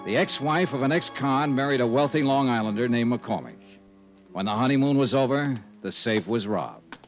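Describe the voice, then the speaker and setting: A man's voice: mid-range voice, animated, normal speed, faint music behind, natural voice. An American man acting a persistent, curt, hard-boiled insurance investigator in a 1950s radio drama, with a clipped, insistent delivery. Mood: narrating. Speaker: star of a detective radio serial. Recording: dull, band-limited old radio recording.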